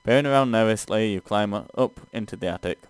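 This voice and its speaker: Male gaming co-host, low voice, dry close sound; stumbles through read-aloud text, swears casually in exasperation. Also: quick pace, clean tone, no echo. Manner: stumbling reader, profane asides, exasperated. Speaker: gaming show co-host playing and reading aloud. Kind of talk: casual let's play, reading game text aloud.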